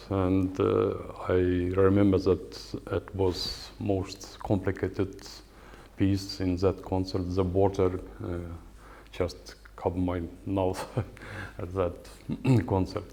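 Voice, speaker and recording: Slow, halting Estonian-accented man lecturing. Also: sits low, warm tone. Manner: hesitant, many fillers and pauses. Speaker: guitar professor giving a lecture. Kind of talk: lecture with halting non-native English. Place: lecture hall at a music academy.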